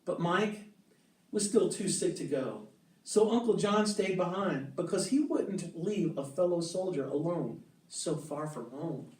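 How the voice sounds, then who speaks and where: A man reading the part of a gentle, solemn mother in a storybook, explaining earnestly and in measured phrases to her children. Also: a little high, animated, a little hiss or hum. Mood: earnest, sombre. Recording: roomy echo, distant mic, music behind.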